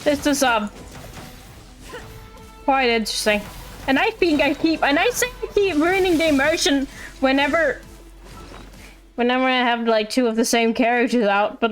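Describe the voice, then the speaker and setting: A woman giving casual live gameplay commentary as a streamer, informal and musing, with some swearing. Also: sits high. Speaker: game streamer.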